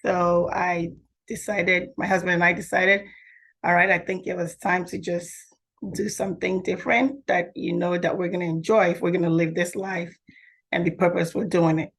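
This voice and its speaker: Female podcast guest, slightly low pitch, reflective and conversational. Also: level tone, normal speed, a bit bright. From Nigeria. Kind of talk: conversational interview answers.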